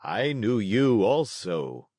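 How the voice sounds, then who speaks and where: A man voicing a weary, gentle old father with a measured, tender delivery in a storybook read-along. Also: on the low side, sing-song, no echo, studio quality, full character voice. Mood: sorrowful recounting. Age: older.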